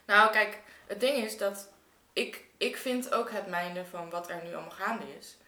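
A woman's voice: medium pitch, normal speed, bright, forceful tone. A woman talking casually and reflectively in a group conversation, thinking aloud and repeating phrases for emphasis. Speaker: artist, podcast guest. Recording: roomy, echoing room sound.

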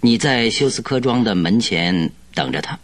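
A shrewd, confident male detective in a radio play. His voice is husky and somewhat low, with lively swings of pitch. He speaks in a coaxing, unhurried way, like someone guarding a plan. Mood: calm, scheming. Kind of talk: Mandarin radio drama acting.

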